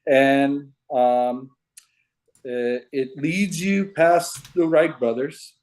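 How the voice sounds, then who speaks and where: Slow talking guy from hawaii on a zoom call, halting, kinda breaking up. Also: medium pitch, fairly even intonation, darkish tone, low-quality recording. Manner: unhurried, halting, restarts often. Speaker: caller sharing puzzle theories. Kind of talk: casual video call chat. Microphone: video call. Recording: video call audio, breaking up.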